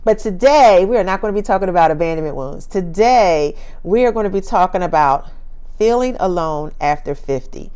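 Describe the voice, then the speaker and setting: Upbeat woman in her 50s chatting to her followers, smooth clear voice, laughs a lot. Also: on the low side, animated, a little fast, warm tone. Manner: chatty and upbeat, laughs often, repeats phrases for emphasis. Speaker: speaker and author hosting her own channel. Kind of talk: casual talk to camera.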